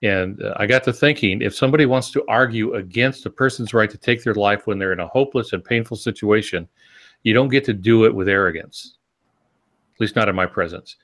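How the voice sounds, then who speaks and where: Low-pitched older man speaking earnestly. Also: fairly bright tone. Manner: earnest, rhetorical questioning, deliberate emphasis. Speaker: podcast co-host and novelist. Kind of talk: reflective conversational talk.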